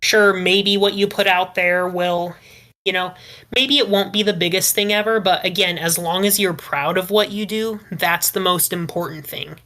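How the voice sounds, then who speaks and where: A woman's voice: lowish voice, steady tone, moderate pace, fairly bright tone. A woman chatting casually as a podcast panelist, speaking in an earnest, encouraging manner. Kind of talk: casual group chat.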